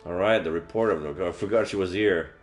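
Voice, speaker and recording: A man streaming a game, reading a visual novel's story aloud in a measured, narrative manner. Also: low voice. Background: faint game music.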